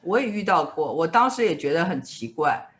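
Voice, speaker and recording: Lower-pitched woman. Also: very animated, a little fast. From China. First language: Mandarin Chinese. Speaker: interviewer. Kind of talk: casual interview chat, switching between languages.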